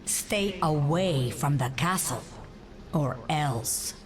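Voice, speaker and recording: A woman's voice: sits low, lively intonation, slow. A woman voicing a vengeful widowed bride who mocks the heroes with theatrical menace in a video game. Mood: menacing. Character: vengeful widowed bride, mocking castle villain. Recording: game music behind.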